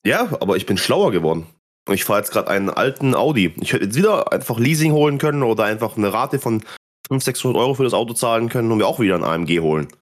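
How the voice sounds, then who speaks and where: A man's voice: medium pitch, expressive, quick pace. A man with a stuffy nose from a cold, co-hosting a podcast and teasing and pushing back in casual chat. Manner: teasing, skeptical, pushes back. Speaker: podcast co-host.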